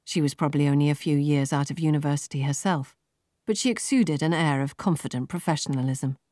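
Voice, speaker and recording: Lady reading a novel, low voice, dry studio sound. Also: a little fast, warm tone, no room sound. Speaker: audiobook narrator. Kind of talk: scripted fiction narration.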